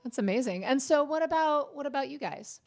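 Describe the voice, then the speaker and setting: A woman's voice: high-pitched, animated, brisk, darkish tone. A woman interviewing students, encouraging them and often restarting her questions.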